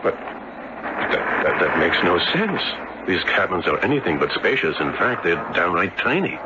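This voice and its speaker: A man's voice: low voice, sing-song, a little slow. A man playing a genial, inquisitive married fellow in 1970s radio drama, talkative and exclamatory, stammering when flustered. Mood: puzzled, curious. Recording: very noisy, muffled old radio broadcast recording.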